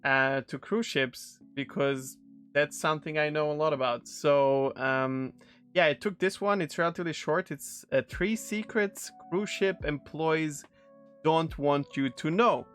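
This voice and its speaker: A man's voice: fairly high pitch, a little slow, a bit bright, clean tone, faint music behind. A man in his thirties with a Serbian accent, a non-native English speaker from Serbia, casually rambling with friendly ums and restarts. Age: 30s. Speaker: streamer reacting to a video. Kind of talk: casual reaction commentary.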